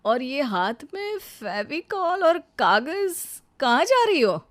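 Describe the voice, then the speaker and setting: A woman's voice: high voice, sing-song, slow, fairly bright tone, exaggerated voice. Woman voicing a teasing, dismissive young husband. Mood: mocking.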